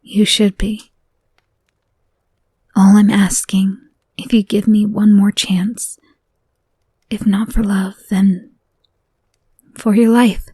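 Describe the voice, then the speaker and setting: A woman's voice: mid-range voice, slow pace, long pauses, husky, no room sound. A woman playing a whispering obsessive captor. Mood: possessive, tender. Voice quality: soft, often whispered. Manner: switches between menacing calm and frantic apology. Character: obsessive young woman captor, murderous, clingy. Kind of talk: audio roleplay to a listener.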